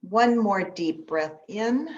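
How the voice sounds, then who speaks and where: A woman pastor leading a guided meditation in an unhurried, gentle manner with long pauses, speaking in short instructions. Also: medium pitch, lively intonation. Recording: dull, lo-fi sound.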